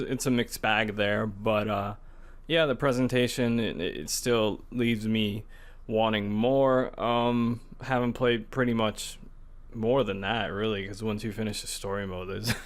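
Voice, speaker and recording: A man's voice: on the low side, measured pace. Casual, rambling male podcast co-host. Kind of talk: casual chat about video games.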